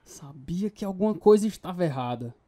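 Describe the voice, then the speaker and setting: A man's voice: sits high, expressive, fairly quick, mellow. An actor playing a troubled man who tells of his fearful youth in an earnest, emotive radio drama style. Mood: alarmed. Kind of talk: dramatized first-person story narration. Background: plucked guitar music.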